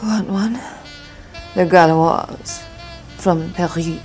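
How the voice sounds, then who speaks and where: Female, twenties femme fatale: rich, composed, sultry, dark mellow tone on the lower side, noir radio play. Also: very slow. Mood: poised, cool. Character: cool, wealthy, petite noir femme fatale wife, mid-twenties. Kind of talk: noir audio drama dialogue. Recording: music under the voice.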